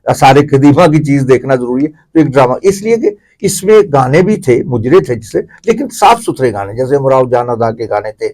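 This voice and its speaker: Man, middle-aged or older, Pakistani accent, medium pitch, discursive interview guest. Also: sing-song, few pauses, a little mellow. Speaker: interview guest, theatre commentator. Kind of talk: reflective explanatory interview talk.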